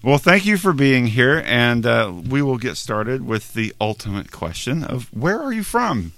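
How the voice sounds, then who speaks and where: A man hosting a casual interview, chatty and quick to laugh, often filling with "like". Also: medium pitch, very animated, a bit bright, dry recording. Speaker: interviewer host.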